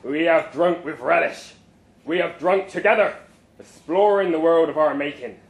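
Fervent cult-like boss, acted by a male storyteller: high-pitched rousing declamation, preacherly, roomy sound. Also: moderate pace, creaky. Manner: rousing, declamatory, chant-like call to the crowd. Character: fervent male boss rallying a beer-worshipping congregation like a preacher.

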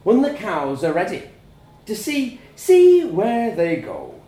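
A man voicing a stern, domineering farmer father who lectures solemnly and erupts into furious shouting. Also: fairly high pitch, wildly swooping pitch, unhurried, roomy sound, voice pushed up. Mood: menacing.

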